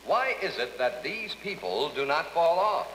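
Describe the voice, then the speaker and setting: A straight-faced radio announcer with a bright, forward voice. He reads silly letters with formal gravity in a comedy skit. The old recording has long reverb and faint noise. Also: a little high, a little slow. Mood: earnest, formal. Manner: deadpan, formal. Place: radio studio.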